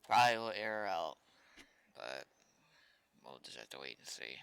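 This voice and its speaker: A man's voice: deep voice, big pitch swings, moderate pace, creaky, fairly bright tone, clear, resonant tone. A man thinking aloud casually in short fragments as he works through programming on a development stream. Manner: muttering to himself in short fragments. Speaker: game developer streaming coding work.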